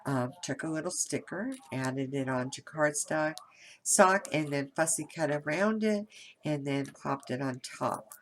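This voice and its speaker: A woman's voice: low-pitched, measured pace, slight background noise. A nasal woman from Kentucky with a head cold, chatting casually through a craft tutorial with lots of fillers and restarts. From Kentucky, USA. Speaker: craft video host.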